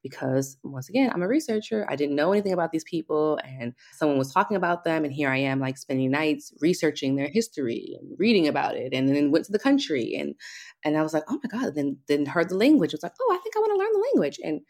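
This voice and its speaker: Young american woman, low voice, guest in a chat, confident, laughs a lot. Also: fast talker. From United States. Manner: animated, laughs often, self-assured. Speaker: guest, hairstylist. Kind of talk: casual interview chat.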